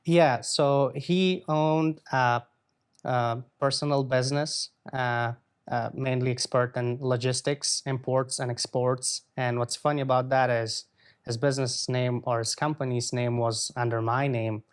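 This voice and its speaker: A man in his twenties from Afghanistan, answering interview questions in an earnest, measured way with frequent pauses. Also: medium pitch, fairly even intonation, clear, resonant tone. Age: 20s. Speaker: guest.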